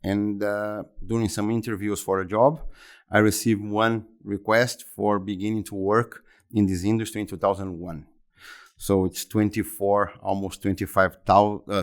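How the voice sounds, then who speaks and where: Low-voiced Brazilian man, halting speech. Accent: Brazilian Portuguese. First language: Portuguese. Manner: halting, repeats words and restarts phrases. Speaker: interview guest, exhibition center executive. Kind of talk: conversational interview answers.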